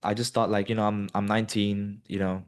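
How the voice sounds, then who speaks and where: A man's voice: lowish voice, fairly quick, smooth. A man in his twenties from Colombia, answering interview questions casually with lots of ums and you-knows. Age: 20s. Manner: many ums and you-knows, relaxed and rambling. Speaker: guest, business student.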